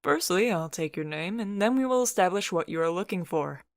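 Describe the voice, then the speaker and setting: Young male receptionist, voiced by a woman: soft, courteous, upbeat and helpful story dialogue, close and dry. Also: lowish voice, animated, brisk, no echo, close to an everyday voice. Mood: cheerful. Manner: soft, polite, cheerfully helpful. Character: gentle, friendly, smiling young male clinic receptionist. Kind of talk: scripted audiobook character dialogue.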